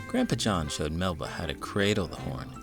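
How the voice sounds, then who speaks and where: A man reading a children's storybook aloud in an expressive, theatrical narrating style. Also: sits low, moderate pace, gravelly, music in the background.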